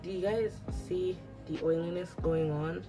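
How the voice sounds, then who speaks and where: A woman's voice: lowish voice, fairly even intonation, moderate pace, music in the background, rough recording. A young woman whose first language is Italian, chatting casually to camera at home, rambling with lots of restarts. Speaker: beauty vlogger. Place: at home after a shower.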